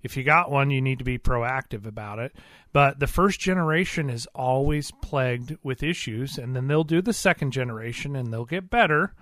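A man's voice: mid-range voice, moderate pace, a bit bright, no echo. A man co-hosting a radio talk show, chatting casually with folksy, colloquial turns of phrase.

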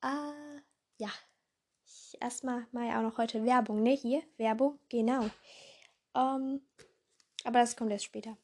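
A young woman with a hoarse voice hosting a casual podcast in a rambling way, full of fillers and self-corrections, jumping between voices. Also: on the high side, steady tone, a little slow, pauses often, a little mellow. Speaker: podcast host.

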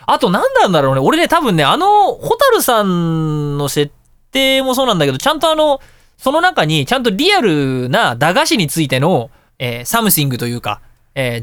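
A man's voice: a little high, a bit bright, no room sound. A man hosting a casual radio show, chatting in a jokey, self-deprecating way. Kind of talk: casual solo radio talk. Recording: background music under the voice. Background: music.

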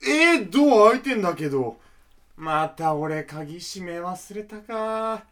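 A man acts out a flashy, irritable male slacker in a radio drama. He is brash and curt, talking in loose slang. The pitch moves around in a very lively way. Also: slow, heavily acted. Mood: offhand. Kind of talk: Japanese radio drama acting.

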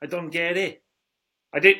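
A man's voice: on the high side, steady tone, moderate pace, bright, forceful tone. A man in his forties co-hosting a casual film review podcast, speaking bluntly with an incredulous, complaining manner. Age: 40s. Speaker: podcast co-host.

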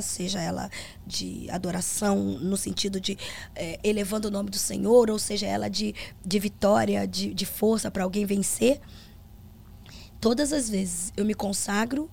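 A woman's voice: medium pitch, vocal fry, slight background noise. A middle-aged woman with a Brazilian accent, a gospel singer telling stories in a casual interview and acting out the dialogue. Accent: Brazilian Portuguese. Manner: animated storyteller, acts out quoted dialogue.

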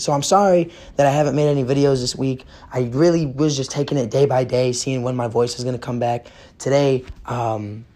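Hoarse, profane young man hosting sports. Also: mid-range voice, darkish tone. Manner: profane, blunt, fired up. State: hoarse, losing his voice. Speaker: sports podcast host. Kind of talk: casual sports rant.

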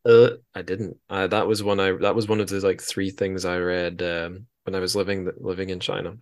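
Male podcast host, low register, chatty and self-deprecating, loosely rambling delivery. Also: little pitch movement, moderate pace. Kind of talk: casual conversational interview.

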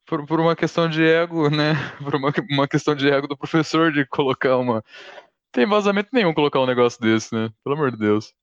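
A man hosting a casual conversation, relaxed and chatty, inviting questions from listeners. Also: fairly high pitch, quick pace, clear, resonant tone, dry recording, lo-fi sound. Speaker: host.

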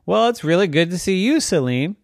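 A storyteller voicing a talking house cat who is fed up at never being understood, in a squeaky indignant voice. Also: expressive, brisk, gravelly, dry recording, clean studio sound. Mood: aggrieved.

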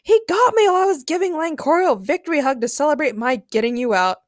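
A woman voicing a boastful, excitable female game contestant who blurts out her lines in a comic story. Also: high-pitched, fast talker, no echo, studio quality, pitched above the performer's own voice. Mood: angry.

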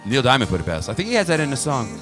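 A man co-hosting a talk show, bantering and telling jokes in a loose, animated way. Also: mid-range voice, very fast, music in the background. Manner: joking, animated storyteller. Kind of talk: casual banter.

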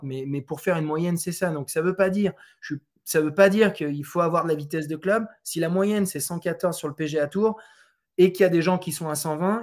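Higher-voiced man explaining, hesitant. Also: a little mellow. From France. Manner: hesitant, many fillers and restarts. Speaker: Trackman representative on golf tours. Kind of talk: conversational interview answers.

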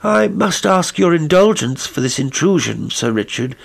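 A man voicing a polite young gentleman in a hurry who speaks in a formal, deferential way. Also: on the high side, very animated, moderate pace, vocal fry, voice pushed up, full character voice.

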